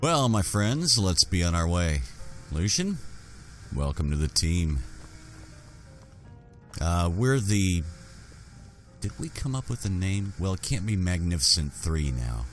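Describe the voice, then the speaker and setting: A man's voice: deep voice, big pitch swings, takes it slowly, long pauses, a bit bright, faint music behind. A man improvising in character as a folksy fantasy game adventurer, with hesitant speech. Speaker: roleplaying gamer voicing his adventurer character.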